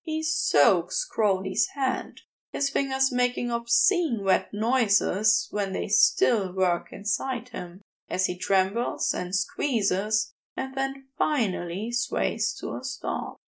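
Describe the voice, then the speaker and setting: A woman reading erotic fiction aloud as a scripted, measured narration. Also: mid-range voice, creaky. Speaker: fan fiction audiobook reader.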